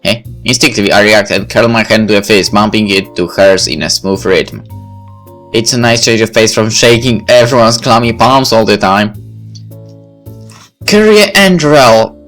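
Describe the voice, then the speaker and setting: A man's voice: fairly low pitch, fairly even intonation, moderate pace, fairly bright tone. Man reading a story aloud over music. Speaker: visual novel reader. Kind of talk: reading first-person story narration aloud.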